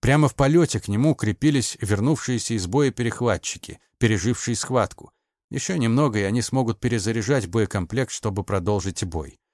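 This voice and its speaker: Мужчина-чтец, сухая запись. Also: male voice, on the low side, fairly quick, a little mellow, no room sound, studio quality. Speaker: audiobook narrator. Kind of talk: scripted dramatic narration.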